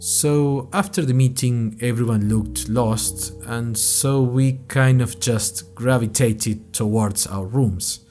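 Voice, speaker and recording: A man's voice: medium pitch, darkish tone, close to an everyday voice. A man playing an anxious young college freshman who narrates his podcast in a wry, rambling, self-conscious monologue. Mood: uneasy, reflective. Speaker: narrator and host of a fiction podcast. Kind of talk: scripted first-person audio drama monologue.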